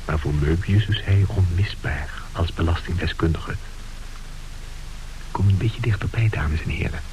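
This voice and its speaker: A man's voice: sits low, a little slow, background noise. A man acting as a conspiratorial radio play narrator who guides listeners in an urgent, theatrical, confiding way. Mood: hushed, confiding. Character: conspiratorial narrator guiding listeners as they shadow a crook. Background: music.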